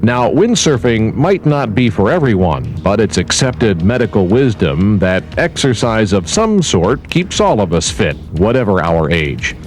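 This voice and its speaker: Low voiced tv reporter guy, really lively intonation, bit of music under. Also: unhurried, hardly any pauses. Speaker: feature reporter. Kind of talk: scripted narration.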